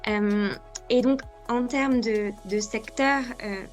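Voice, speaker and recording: A woman's voice: a little high, takes it slowly, soft background music. A young woman from France telling her career story in a webinar, talking hesitantly with many fillers and restarts. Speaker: graduate speaker in a webinar. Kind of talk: unscripted personal account.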